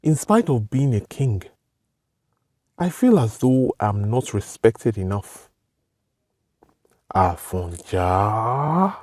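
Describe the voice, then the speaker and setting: A man voicing a resentful, scheming prince turned king in an animated folktale, with a Nigerian accent and a brooding, theatrical delivery. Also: lowish voice, dry recording, clean studio sound, full character voice. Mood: brooding, bitter. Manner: brooding, theatrical soliloquy with drawn-out words. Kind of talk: animated folktale character acting.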